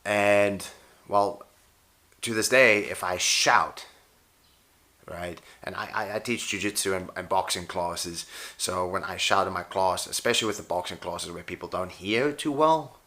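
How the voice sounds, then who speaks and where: A man's voice: deep voice, unhurried, sharp tone. A man, a pro wrestler and jiu-jitsu coach, talking in a rambling, wry, unscripted way about his injuries; his voice tires easily. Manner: rambling, self-correcting, wry. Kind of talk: unscripted casual talk to camera.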